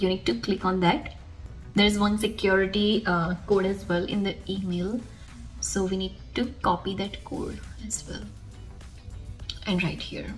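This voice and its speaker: A young woman whose first language is Gujarati talks through a screen-recorded tutorial in a relaxed, step-by-step way, her voice sitting at an ordinary middle pitch. Faint music plays quietly behind her. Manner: casual, explains step by step while clicking. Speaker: tutorial host.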